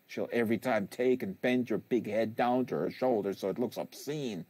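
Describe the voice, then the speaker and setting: A man voicing a bitter, rambling father who goads his tearful son in a halting monologue from a novel. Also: fairly low pitch, darkish tone, slightly rough, dry recording. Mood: bitter disgust. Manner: halting, repetitive, goading. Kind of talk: dramatic novel reading, monologue.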